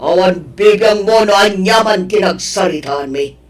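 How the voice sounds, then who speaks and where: A woman acting an angry, menacing rival in an audio drama, confronting another with drawn-out, shouted threats. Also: medium pitch, slight background noise, lightly acted. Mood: angry, threatening. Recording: roomy echo, distant from the mic.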